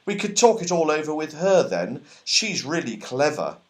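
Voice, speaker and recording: A man's voice: on the high side, sing-song, moderate pace, voice pushed up. A man voicing a keen, sensible young boy in a children's storybook. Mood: concerned.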